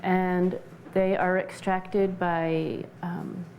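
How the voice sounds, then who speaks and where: A woman giving explanatory interview answers as a practitioner, measured and halting as she searches for words. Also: fairly low pitch, level tone, a little mellow, a little hiss or hum, poor audio. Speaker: interview guest, essential oils practitioner.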